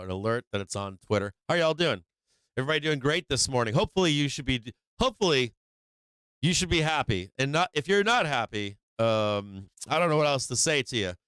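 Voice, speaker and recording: A man's voice: mid-range voice, lively intonation, normal speed, fairly bright tone, clean tone, dry recording. A man hosting a live stock market stream, casual and slangy, rambling with many fillers and restarts, saying he is losing his voice.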